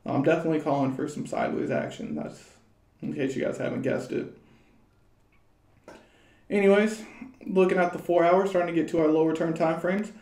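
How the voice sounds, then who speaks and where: Young man, hoarse, casual crypto chart talk. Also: a little high, a little fast, pauses often, darkish tone, reverberant. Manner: loose and rambling, many fillers and restarts. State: slightly hoarse. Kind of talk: casual market commentary over charts. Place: small room at home.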